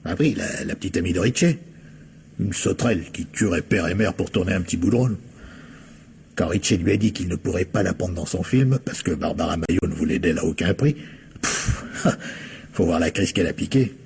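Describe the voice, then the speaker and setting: A man's voice: low-pitched, a little fast, creaky, darkish tone, slight background noise. An actor playing a gossipy film-studio informant in a French radio crime play, colloquial and emphatic in his telling. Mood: scornful gossip.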